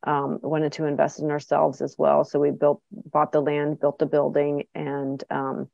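Low, gravelly middle-aged woman. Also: completely flat delivery, measured pace, dark tone, dry recording. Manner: chatty, frequent ums and restarts. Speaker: clinic director, guest. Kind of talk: casual interview answers. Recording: dull, dry lo-fi sound.

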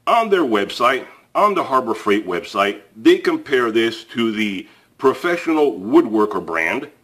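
A man's voice: mid-range voice, a bit bright, husky. A man hosting a tool review channel, talking casually to the camera with plenty of fillers and restarts while handling a tool on a table. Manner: chatty and plain-spoken, frequent fillers and restarts. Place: indoors at a table, filmed from above.

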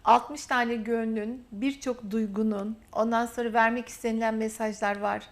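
Woman tv host, slightly high voice, warm and encouraging, stretches her phrases. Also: steady tone. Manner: warm, affirming, drawn-out phrasing. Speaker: TV interview host. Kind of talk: interview hosting.

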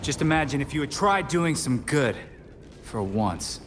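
An actor playing a confident, earnest young superhero in a video game, with a cool conversational manner. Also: male voice, medium pitch, animated, unhurried, fairly bright tone. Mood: cool, reproachful. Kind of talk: cinematic video game acting. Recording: noisy game storm and machinery.

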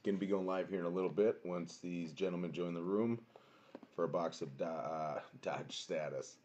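A young man with a booming voice streaming a card box break from a small home room, chatting casually and greeting viewers by name. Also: moderate pace, darkish tone. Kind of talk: casual live-stream chat.

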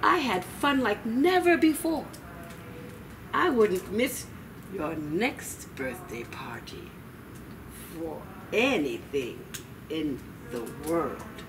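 A woman voicing a polite male skeleton trickster from a storybook, measured and deliberate. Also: lowish voice, very animated. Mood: fond, grateful. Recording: distant mic, noisy room ambience.

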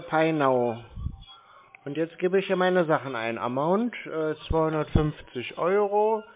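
A man chatting casually on a phone call in a deadpan, dithering, colloquial way. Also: a little high. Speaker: scambaiter playing along with caller. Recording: thin narrowband phone-like sound.

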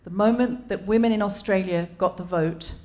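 A woman's voice: mid-range voice, moderate pace, mellow. A woman in her forties with an English accent, hosting a comedy podcast on a theatre stage in front of a live audience, chatty and self-mocking. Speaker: podcast host.

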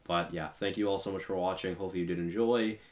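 A man's voice: low voice, fairly even intonation, fast talker. A man in his twenties giving casual, enthusiastic reaction commentary, rambling with lots of fillers and repeated words at a desk in a small room. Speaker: reaction channel host. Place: small bedroom at a desk, indoors.

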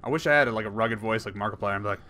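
A man in his thirties commenting on a horror game he plays, rambling and jokey, swinging from mumbles to yells. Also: on the low side, racing. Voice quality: hoarse at times. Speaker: gaming YouTuber. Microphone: headset.

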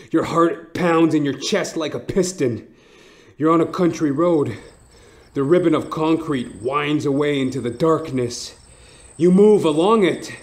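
Slow, theatrical horror narrator, a man. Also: medium pitch. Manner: suspenseful, theatrical, short deliberate phrases. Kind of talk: dramatic second-person horror story narration. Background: music.

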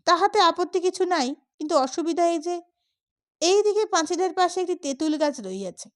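Woman with a really high voice reading a funny story audiobook style, does the dialogue too. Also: expressive, normal speed. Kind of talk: expressive scripted audiobook narration of a comic story.

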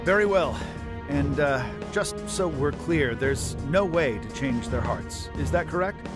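An actor playing a cold, scheming man bent on world domination in a video game, speaking with smug, deliberate menace. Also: on the high side, expressive. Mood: smug, menacing. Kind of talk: dramatic video game voice acting. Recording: loud music over the voice.

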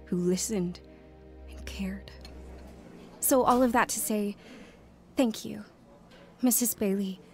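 A woman's voice: medium pitch, darkish tone, close to an everyday voice. An actress playing an earnest, wounded young woman telling her life story in a dramatized testimony. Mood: wistful, reflective, then grateful, tender. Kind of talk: dramatized first-person testimony narration and dialogue.

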